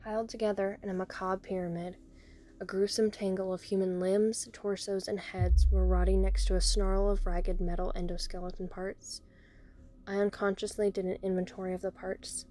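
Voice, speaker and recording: A woman's voice: mid-range voice, little pitch movement, moderate pace, warm tone, slight background noise, natural voice. A woman playing a teenage girl who narrates her horror ordeal in the first person, observant and uneasy. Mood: horrified. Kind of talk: first-person dramatic audio story narration.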